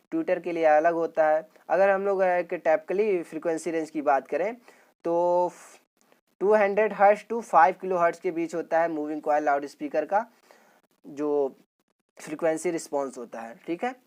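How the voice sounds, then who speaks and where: A man's voice: on the high side, darkish tone. Male lecturer for informal technical lessons; explanatory, repetitive delivery with regular check-ins. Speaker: teacher.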